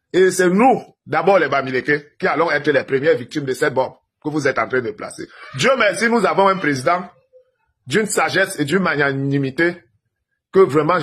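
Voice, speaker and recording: A man's voice: fairly high pitch, fairly bright tone, slightly rough. A man from Cameroon giving an emphatic political monologue to viewers, lecturing and asking rhetorical questions. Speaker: political commentator.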